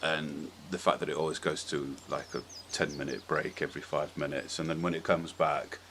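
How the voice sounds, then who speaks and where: Deep-voiced man chatting on a podcast. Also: flat delivery, moderate pace, fairly bright tone. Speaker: podcast host. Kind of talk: casual opinionated podcast chat. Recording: clearly audible background noise.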